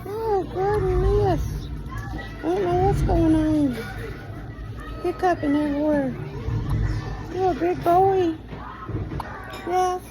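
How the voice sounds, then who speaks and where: Woman cooing slow, very high baby talk. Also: poor audio. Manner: doting, repetitive, sing-song soothing.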